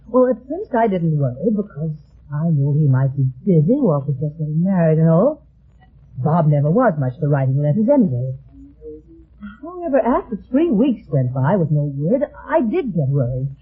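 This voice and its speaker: A woman's voice: deep voice, big pitch swings, normal speed, husky, heavily acted. An actress playing an anxious, earnest young woman pleading for help in an old radio mystery. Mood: worried, earnest. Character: anxious, earnest young woman seeking her missing brother. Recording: tinny, narrow-band old radio recording.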